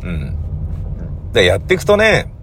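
A man hosting a casual solo podcast, talking in halting, drawn-out phrases that keep checking for agreement. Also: fairly low pitch, wildly swooping pitch, a bit bright, a little hiss or hum. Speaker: host of a regular podcast, radio drama director.

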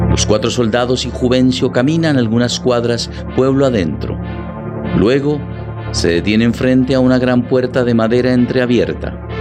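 A man narrating a literary drama in a solemn, deliberate way, with music behind his voice. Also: mid-range voice.

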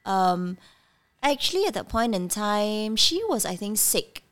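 A woman's voice: medium pitch, a little slow. A woman in her thirties whose first language is Cantonese, candidly telling her childhood story as a podcast guest. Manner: candid, animated storytelling with many fillers. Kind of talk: personal life story interview.

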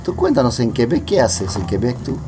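A jovial man hosts a relaxed chat among friends, firing off playful questions in a voice of middle pitch. Music plays clearly in the background throughout. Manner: jovial, joking, quick questions. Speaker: podcast host. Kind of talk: casual interview over drinks. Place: gathering with friends drinking beer.

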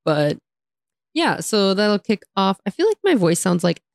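A young woman co-hosting a casual chat, chatty and laughing often, her voice a little raspy from talking all day. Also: medium pitch, very animated, a little slow, darkish tone, no room sound, clean studio sound. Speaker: podcast co-host.

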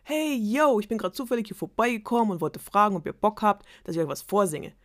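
A woman's voice: medium pitch, animated, fast talker, voice pushed up, close to an everyday voice. A woman voicing a laid-back young minstrel who talks in street slang. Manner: slangy, easygoing.

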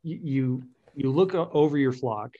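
A man hosts a podcast and talks as a pastor. He thinks aloud, restarting his sentences, in a mellow, darker voice. The recording sounds dull and slightly muffled. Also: medium pitch, normal speed. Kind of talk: conversational podcast interview.

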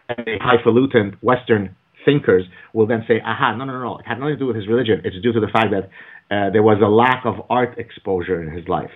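Interview guest: emphatic male author, lowish voice, narrow phone-like sound. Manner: emphatic, argumentative, rises in pitch for emphasis. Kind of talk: podcast interview, lecturing.

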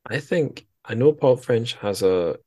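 A man's voice: mid-range voice, expressive, unhurried, mellow. A man hosting a podcast interview in a casual, rambling, self-deprecating way.

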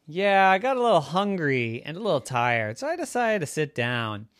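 A man's voice: on the high side, sing-song, moderate pace, a bit bright, no room sound, clean studio sound, pitched above the performer's own voice. Lila, a man doing a bossy little girl. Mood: insistent. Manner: bossy, insistent. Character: confident young girl heroine.

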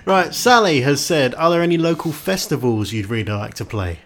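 A man's voice: fairly high pitch, expressive, brisk, noisy background. A man casually hosting a chat interview, reading out questions from listeners and bantering with guests.

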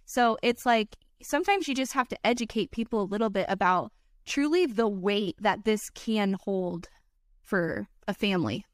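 A woman's voice: mid-range voice, normal speed, no echo, clean studio sound. A woman wedding filmmaker, a podcast guest, talking in an earnest, chatty way full of "like" and "you know". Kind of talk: casual podcast chat.

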